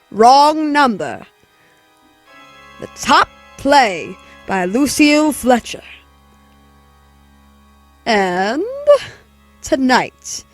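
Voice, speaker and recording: A woman presenting a radio play in a formal, scripted introduction, speaking in a measured, deliberate way. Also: on the high side, no echo.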